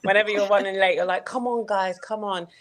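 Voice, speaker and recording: Laughing, lively woman guest. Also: mid-range voice, fairly quick. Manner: laughing, lively, uses "man". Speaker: guest, creative director. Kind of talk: casual chat.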